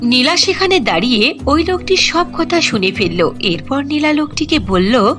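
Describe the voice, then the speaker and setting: A woman's voice: high-pitched, animated, moderate pace, fairly bright tone. A woman narrating a scripted fairy-tale cartoon as its storyteller. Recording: music behind the voice.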